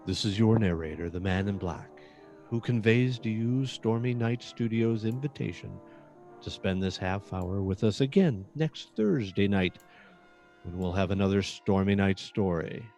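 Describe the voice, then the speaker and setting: A man announcing as narrator of an old-time radio suspense show, measured and theatrical with dramatic pauses. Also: lowish voice, a little mellow. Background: brass instrument music.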